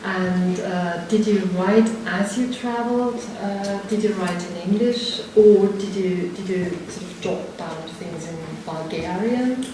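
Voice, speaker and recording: A woman's voice: mid-range voice, a little slow, few pauses. A woman moderating a literary event, asking long winding questions full of hesitations. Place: literature house event hall. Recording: echoey hall from far off, noisy.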